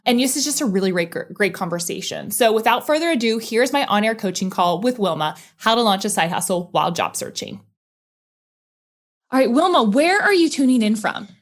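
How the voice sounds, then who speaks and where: A woman's voice: on the high side, lively intonation. Upbeat woman podcast host. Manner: upbeat, enthusiastic, fast bursts. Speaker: podcast host and career coach. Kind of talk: podcast coaching call.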